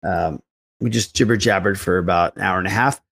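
A man's voice: low voice, a little fast, gravelly, a bit bright. A man hosting a casual pop culture podcast, talking in an informal, jokey, rambling way. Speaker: podcast host.

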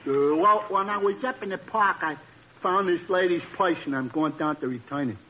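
An actor playing a naive, slow-witted working-class sidekick with a hesitant manner in a 1940s radio comedy. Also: male voice, high-pitched, sharp tone. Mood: dim, hesitant. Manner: dopey, hesitant, literal-minded. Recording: muffled, hissy old radio broadcast.

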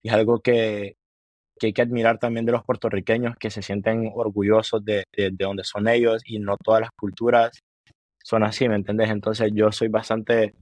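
A man's voice: fairly low pitch, little pitch movement, darkish tone, dry recording. A man in his twenties with a Honduran accent, a musician talking earnestly in a casual interview, with many restarts. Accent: Honduran Spanish. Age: 20s. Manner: earnest, halting, with many restarts and repeated words. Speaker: musician guest. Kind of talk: casual interview chat, mixing Spanish and English.

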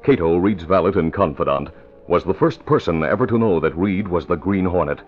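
A man's voice: low-pitched, expressive, a little fast, faint music behind. A man narrating a vintage radio drama from a script in a formal, dramatic manner. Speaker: narrator and announcer. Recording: dull old radio recording.

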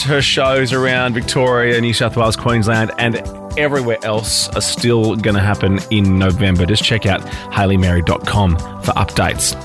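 Chatty male podcast host, laughing easily. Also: mid-range voice. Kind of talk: casual interview. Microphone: video call.

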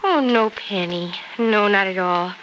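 An American actress playing a gentle young wife who hides her worry in a soft-spoken, forbearing way, in 1940s radio soap style. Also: medium pitch, measured pace, natural voice. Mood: anxious, composed. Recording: noisy vintage radio recording.

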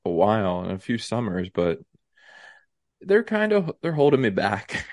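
A young man with a stuffy, congested nose co-hosting a casual podcast chat, halting with many ums and restarts. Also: fairly low pitch, sing-song, darkish tone, dry recording, studio quality. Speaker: podcast co-host.

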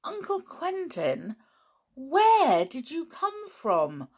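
A woman's voice: sits high, wildly swooping pitch, drawn-out words, a little mellow, voice pushed up, exaggerated voice. A woman voicing a curious, determined boy who keeps pressing for answers. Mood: insistent. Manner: eager and pushy.

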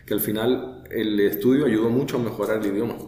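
A man's voice: lowish voice, steady tone, fairly quick, dark tone, reverberant. A man in his thirties from Chile, with a Chilean Spanish accent, talking as a guest in an interview, with many hesitations and repeated words. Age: 30s. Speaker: guest brewmaster. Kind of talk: relaxed conversational interview.

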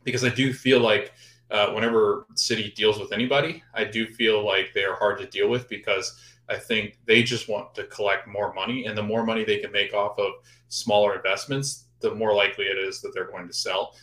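A man's voice: fairly low pitch, sharp tone. A man co-hosting a podcast, giving his take on soccer in an easygoing way, thinking aloud with fillers. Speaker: podcast co-host. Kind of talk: casual soccer chat. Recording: echoey room.

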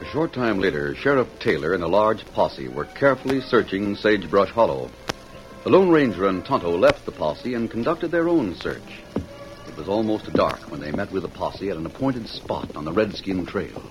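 Deep, dramatic old-time radio narrator, male. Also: very animated, normal speed, poor audio. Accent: American. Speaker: announcer-narrator. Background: music.

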